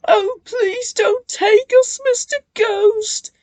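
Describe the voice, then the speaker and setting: A woman voicing Bill, a cheeky young male twin tank engine, in a squeaky, panicky voice. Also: expressive, takes it slowly, dark tone, no echo. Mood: frightened.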